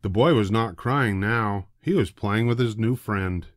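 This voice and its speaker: An older man narrating a children's storybook in a deliberate, expressive way. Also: lowish voice, no echo, studio quality. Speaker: storybook reader.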